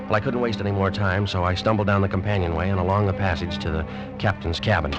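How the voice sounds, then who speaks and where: Actor as deep-voiced, haunted ship's officer. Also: male voice, fairly even intonation, fast talker, lightly acted. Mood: urgent worry. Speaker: radio drama lead actor and narrator. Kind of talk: old-time radio suspense narration and acting. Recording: muffled old radio recording, music behind.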